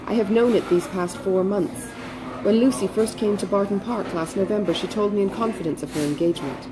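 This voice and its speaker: Lady doing a calm sensible elder sister in a classic novel, earnest and measured, holding feelings back. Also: mid-range voice, noisy background, close to an everyday voice. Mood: composed, earnest. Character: composed, dutiful, self-controlled elder sister.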